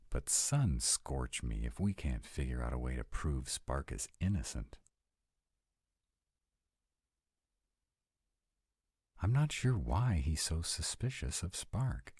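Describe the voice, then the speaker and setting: Man playing a very deep, husky, troubled young vampire. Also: mellow, no echo, clean studio sound, exaggerated voice. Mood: defensive, worried. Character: young sarcastic male vampire, troubled and confiding. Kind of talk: intimate first-person audio roleplay.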